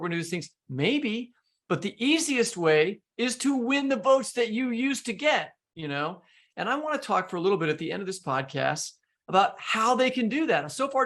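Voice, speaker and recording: A man's voice: on the high side, vocal fry, a bit bright. An American man from the Midwest hosting a podcast, making emphatic points in conversational political discussion. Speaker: podcast host.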